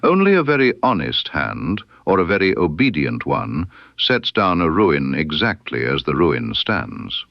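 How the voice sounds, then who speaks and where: A man voicing a keen, composed detective in an audiobook story, with precise, deliberate and quietly probing speech. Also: extremely low pitch, huge pitch swings, dry recording, dull audio, exaggerated voice. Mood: absorbed, analytical. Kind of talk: audiobook character dialogue.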